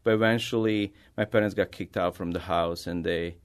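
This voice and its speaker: A middle-aged man from Bosnia with a Bosnian accent, a native Bosnian speaker answering interview questions as an artist in halting, non-native English. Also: low voice, fairly even intonation, smooth. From Bosnia, former Yugoslavia. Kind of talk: conversational interview answers, personal storytelling.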